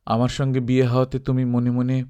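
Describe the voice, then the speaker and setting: A man's voice: mid-range voice, monotone, brisk, warm tone, clean tone, natural voice. A man voicing an earnest young husband in love in storybook dialogue, pressing and mock-offended. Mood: eager, pressing.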